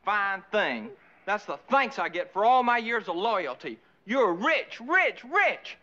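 An eager, naive young farmhand with a very high, bright, bouncy voice. He talks in a folksy, excitable way. The sound is a dull lo-fi 1960s TV soundtrack. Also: takes it slowly, exaggerated voice. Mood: excited. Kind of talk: 1960s sitcom acting.

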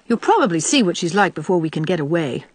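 Woman reading as a lively young country woman. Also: lowish voice, quick pace, no echo. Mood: chatty. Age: young adult. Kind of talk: audiobook character voice.

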